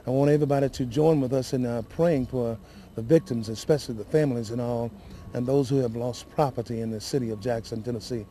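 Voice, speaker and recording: A shaken, weary man speaking haltingly and earnestly in a live news interview, often repeating himself. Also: medium pitch, fairly even intonation, dark tone, slight background noise. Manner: halting, repetitive, emotional and earnest. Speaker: city councilman giving interview.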